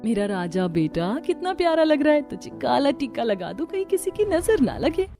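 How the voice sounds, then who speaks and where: A woman's voice: high voice, big pitch swings, moderate pace, music in the background. A woman voicing a doting beggar mother in a cartoon story, cooing tenderly to her son.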